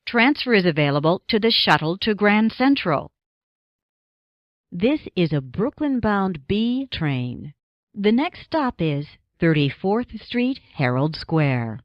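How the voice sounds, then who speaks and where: An American woman reading scripted subway announcements as an automated announcer, in measured, segmented phrases. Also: mid-range voice, big pitch swings, dry recording, lo-fi sound.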